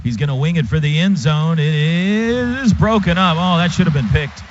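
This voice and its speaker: A man's voice: on the high side, normal speed. A man doing live football play-by-play on radio in clipped, punchy phrases. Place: radio booth at a football stadium. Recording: dull lo-fi radio broadcast, clear stadium noise.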